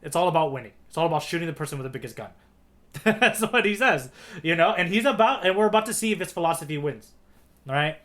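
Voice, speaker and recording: A man's voice: on the high side, animated, fast, a bit bright. A man co-hosting a podcast in casual discussion, often checking in with a questioning "right?" as he makes his points. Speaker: podcast co-host.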